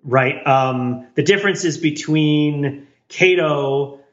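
Higher-voiced man on a dull, roomy recording. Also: slow, a bit bright. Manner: questioning, works ideas out aloud. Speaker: podcast co-host. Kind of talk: casual analytical discussion.

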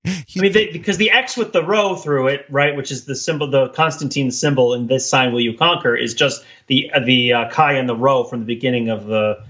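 A man's voice: medium pitch, fairly quick, a bit bright. A man co-hosting a casual analytical podcast, explaining things at length in a discursive, professorial way. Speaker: podcast co-host.

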